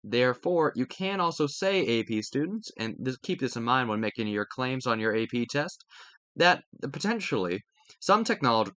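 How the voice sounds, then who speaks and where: Male educational reader, mid-pitched, highly animated intonation, prone to small slips and self-corrections, dry close recording. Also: normal speed, no echo. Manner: stumbles over words and corrects himself. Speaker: reader and host of study videos. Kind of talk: reading a textbook aloud with added comments.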